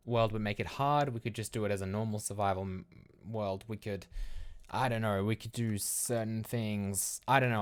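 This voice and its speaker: Rambling Australian man with a lowish voice. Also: smooth. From Melbourne, Australia. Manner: rambling, loose, thinking aloud. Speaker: livestream host. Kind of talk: casual livestream chat.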